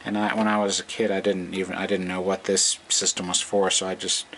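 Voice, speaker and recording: Low-voiced man rambling over noisy camera audio. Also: level tone, brisk, fairly bright tone. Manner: hesitant, rambling, thinking aloud. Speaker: hobbyist vintage radio restorer. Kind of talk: casual off-the-cuff walkthrough of an old radio. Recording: noisy amateur camcorder recording.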